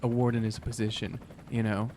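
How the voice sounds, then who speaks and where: A man's voice: on the low side, flat delivery, quick pace, a little mellow, a little hiss or hum. A man playing a tabletop game, talking casually and joking as he improvises.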